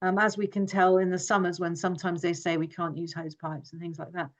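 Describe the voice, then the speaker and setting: A woman's voice: fairly low pitch, little pitch movement, a little fast, dull audio. Earnest woman presenting at a forum. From Hong Kong. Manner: earnest, many restarts and fillers. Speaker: forum speaker. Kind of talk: informal presentation.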